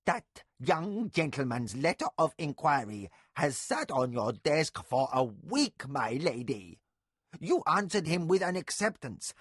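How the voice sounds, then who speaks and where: A man voicing a fussy, scolding old woman who was once a nurse, shrill and reproachful. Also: slightly rough, no echo, studio quality, exaggerated voice.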